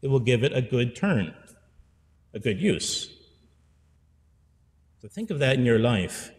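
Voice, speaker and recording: A man's voice: mid-range voice, sing-song, a little slow, darkish tone, big-room sound. A man in his forties, a priest giving an earnest pastoral sermon at a pulpit microphone, repeating phrases for emphasis. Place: church sanctuary. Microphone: stand mic at pulpit.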